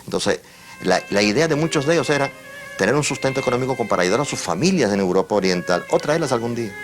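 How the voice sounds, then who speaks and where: A man's voice: mid-range voice, lively intonation, fast, faint music behind. A man interviewed for a documentary, explaining things fluently with small fillers.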